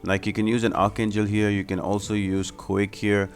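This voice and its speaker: A man's voice: lowish voice, monotone, moderate pace, faint music behind. A man giving explanatory, step-by-step commentary as the host of a video game guide. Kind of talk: gameplay tutorial commentary.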